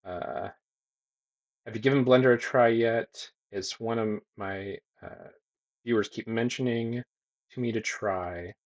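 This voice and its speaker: A man's voice: mid-range voice, fairly even intonation, a little slow, muffled recording. A young man with a soothing voice, casually chatting with viewers during a livestream and reading chat aloud with frequent ums. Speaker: streamer and digital sculptor. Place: home streaming room with green lighting.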